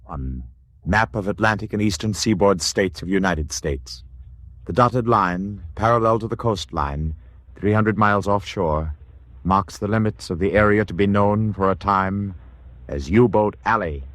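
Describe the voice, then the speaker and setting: A man playing an authoritative documentary announcer in a 1940s radio drama, measured, formal and terse. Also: lowish voice, fairly bright tone, dry recording. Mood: stern, measured. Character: authoritative male documentary announcer describing war scenes. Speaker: second narrator.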